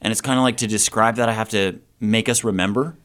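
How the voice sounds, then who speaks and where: A man with a lowish voice asks questions in a relaxed, informal way. He gently prompts his guest as the interview goes on. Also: fast talker. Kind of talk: casual interview.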